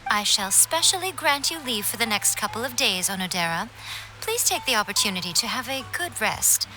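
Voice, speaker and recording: A woman voicing a poised, teasing noble lady, unhurried and playfully condescending, in a video game. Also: a little high, big pitch swings, rough recording. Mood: composed authority. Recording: music behind the voice.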